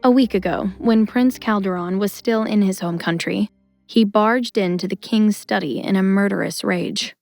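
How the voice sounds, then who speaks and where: A woman's voice: mid-range voice, normal speed, vocal fry, a little mellow, soft background music. A woman narrator reading a scripted audio drama in a storytelling style.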